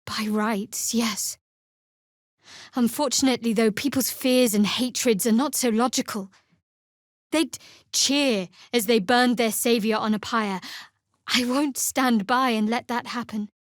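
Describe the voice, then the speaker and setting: Woman acting a guarded, sardonic young cleric, higher-pitched. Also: no echo, close to an everyday voice. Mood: guarded, earnest. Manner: measured, dry, wry delivery. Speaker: video game voice actor. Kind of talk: scripted fantasy game dialogue.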